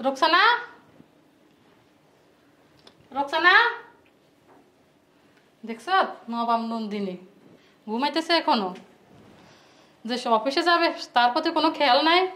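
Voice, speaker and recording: A woman's voice: a little high, normal speed, pauses often, fairly bright tone, close to an everyday voice. A woman with a colloquial Bangladeshi accent playing a quarrelsome, sarcastic wife and nagging sister-in-law in a family drama. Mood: complaining, calling out. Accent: colloquial Bangladeshi Bengali. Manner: sarcastic, complaining.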